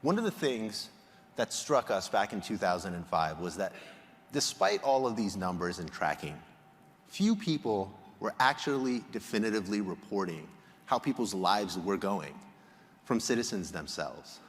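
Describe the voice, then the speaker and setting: A man from Egypt giving a prepared public presentation as a researcher, explaining in a measured way with emphatic phrasing. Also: on the low side, sing-song. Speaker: conference speaker, polling researcher. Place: large indoor hall, on stage. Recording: reverberant hall sound.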